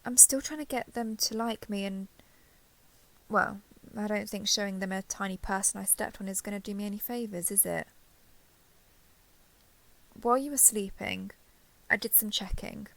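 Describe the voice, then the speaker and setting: A young dragon girl of giant size , acted by a woman, speaks intimately to the listener in an audio roleplay. Her voice has a clear creak at an ordinary female pitch. She is gentle, nervous and apologetic, hesitating and rambling as she goes. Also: normal speed, pauses often, low-quality recording. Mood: gently curious.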